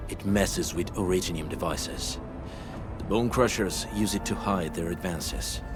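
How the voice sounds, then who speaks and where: A man playing a stoic, knowledgeable warrior scout in a video game, speaking in a measured, serious way. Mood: grave, measured. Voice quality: low-pitched voice. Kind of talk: video game character acting. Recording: voice over game music.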